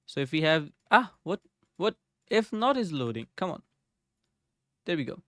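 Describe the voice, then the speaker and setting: A man's voice: on the high side, very animated, moderate pace, a bit bright, no echo, clean studio sound. A young man streaming live from his home desk, thinking aloud as he codes and greeting viewers in the chat. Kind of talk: casual live coding chat. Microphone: desk microphone.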